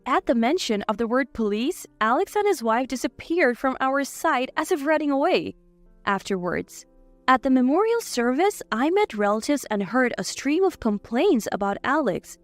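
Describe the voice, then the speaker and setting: A woman's voice: sits high, big pitch swings, normal speed, no room sound. A woman reading a scripted, first-person dramatic story as its narrator. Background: faint background music.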